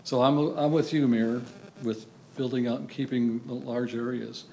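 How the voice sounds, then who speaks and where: An older man with a gravelly, slightly creaky voice speaks up at a public hearing. He sounds earnest and rambling, circling around his question. Faint room noise sits underneath. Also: medium pitch, fairly even intonation, normal speed, mellow, poor audio. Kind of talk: public comment.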